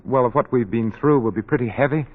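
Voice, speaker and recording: An actor playing a cautious, sober lawyer and family friend in a 1940s radio drama, measured and reserved. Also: male voice, medium pitch, natural voice. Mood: grave, guarded. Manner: measured, reserved, matter-of-fact. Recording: dull 1940s radio broadcast, long reverb.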